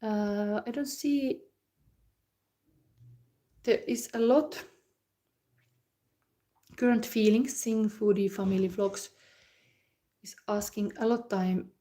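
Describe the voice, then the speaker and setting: A woman with a Finnish accent, a non-native English speaker, giving a calm, unhurried psychic reading and chatting loosely with viewers, using many fillers. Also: medium pitch. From Finland. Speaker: psychic card reader. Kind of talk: live psychic reading and comment chat.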